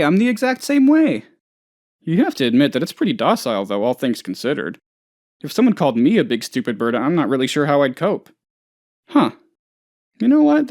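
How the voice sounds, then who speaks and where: An actor playing a naive, anxious, scatterbrained office boss who rambles and gets sidetracked, in a video game. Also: male voice, on the high side, a little mellow. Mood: musing. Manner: rambling, absent-minded, easily sidetracked. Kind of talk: video game character voice lines.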